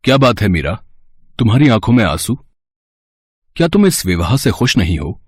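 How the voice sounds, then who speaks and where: An actor playing a baffled young royal bridegroom in a storybook drama, questioning and incredulous. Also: male voice, fairly low pitch, very animated, moderate pace, slightly rough, no room sound, clean studio sound. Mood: concerned. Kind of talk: acted devotional storybook drama.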